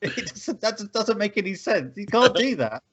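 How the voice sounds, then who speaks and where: A man with a slightly high, very lively voice, ranting in casual group chat. He swears and hammers his points by repeating them. The sound is a little dull and lo-fi. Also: normal speed, husky. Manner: sweary, emphatic, repetitive ranting. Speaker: podcast co-host.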